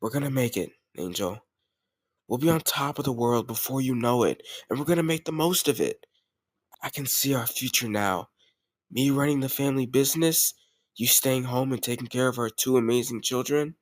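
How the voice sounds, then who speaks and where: A man's voice: mid-range voice, normal speed, no room sound, lightly acted. A man playing a confident, doting mafia boss boyfriend in an intimate roleplay audio, speaking affectionately and directly to a lover. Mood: doting, earnest. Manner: affectionate, self-assured, addressing a lover directly. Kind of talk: intimate roleplay audio, scripted romantic monologue.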